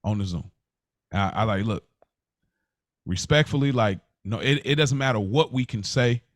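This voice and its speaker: Low-voiced man telling a personal story. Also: moderate pace, no room sound, clean studio sound. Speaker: podcast co-host. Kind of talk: casual personal storytelling in conversation.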